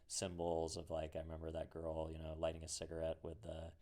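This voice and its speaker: A man's voice: rumbling low, completely flat delivery, fairly quick, mellow. A man hosting a podcast interview, asking questions in a hesitant way with many fillers and restarts. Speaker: podcast host. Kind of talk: interview conversation.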